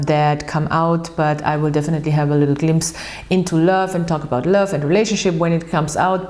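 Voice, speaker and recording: A woman with a fairly low voice hosts a casual tarot reading in English. She talks in a chatty, earnest way and often restarts sentences. She repeats words for emphasis. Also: darkish tone. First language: German. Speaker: tarot reader and channel host. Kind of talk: casual tarot reading while shuffling cards.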